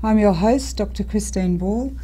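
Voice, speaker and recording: Mellow woman hosting. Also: mid-range voice, moderate pace, husky. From Australia. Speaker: interviewer, host. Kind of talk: scripted introduction, then interview questions.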